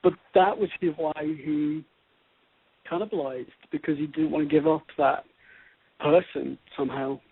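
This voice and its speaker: A man with a husky, creaky voice co-hosting a conversational discussion, halting and repeating phrases for emphasis. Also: on the high side, steady tone, dry recording. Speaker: show co-host. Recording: narrow phone-like radio sound.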